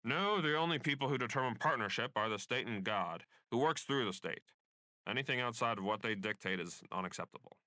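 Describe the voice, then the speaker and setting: A man's voice: medium pitch, lively intonation, a little fast, pushed and bright, no room sound. A man playing a pompous, bigoted state censor in a dystopian radio play, haranguing and scornful. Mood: outraged. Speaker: voice actor.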